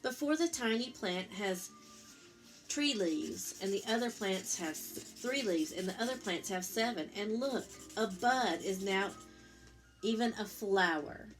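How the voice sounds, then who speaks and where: A woman reads a children's story at a slow pace over faint music. Her pitch is average for a woman, and she speaks as a teacher does, explaining things simply and putting questions to young listeners. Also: lively intonation. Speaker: teacher reading a picture book aloud. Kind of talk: children's story read-aloud with explanatory asides.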